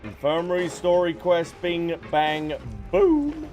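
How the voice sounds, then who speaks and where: A man's voice: high voice, big pitch swings, slow, a little mellow, soft background music. A man livestreaming a game, chatting casually and playfully as he reads and answers viewers. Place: behind: game music.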